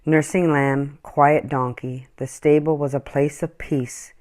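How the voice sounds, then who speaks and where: A woman's voice: sits low, slow. Woman reading a children's storybook aloud. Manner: animated, acts out dialogue and animal sounds. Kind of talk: expressive scripted storybook narration.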